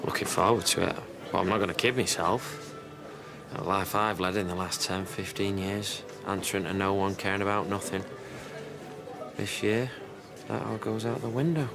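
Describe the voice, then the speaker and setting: A man's voice: sits low, steady tone, normal speed, creaky. An actor playing a wry working-class man in a television soap drama, blunt and matter-of-fact. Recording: TV drama with music underneath.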